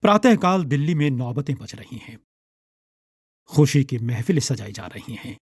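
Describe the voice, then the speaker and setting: A man narrating a scripted literary story in a measured, dramatic storytelling manner. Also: mid-range voice, big pitch swings, a little mellow, breathy edge, no echo, clean studio sound.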